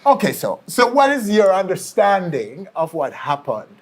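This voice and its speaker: A man with a Jamaican accent presenting and interviewing on an entertainment show in a deliberate, clarifying manner. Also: high voice, huge pitch swings.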